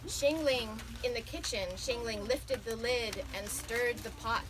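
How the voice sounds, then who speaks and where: Slow-paced woman reading aloud to children. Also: a little high. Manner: expressive, asks listeners questions. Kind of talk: picture-book read-aloud to children. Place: bookstore live event. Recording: noisy room with audience.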